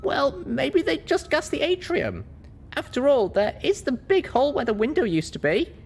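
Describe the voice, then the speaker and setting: A man's voice: very high-pitched, wildly swooping pitch, moderate pace, pitched above the performer's own voice, exaggerated voice. A man voicing an anxious, weary young female pony heroine in a story, with a hesitant, questioning manner. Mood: dry, grumbling. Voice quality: raspy from a dry sore throat.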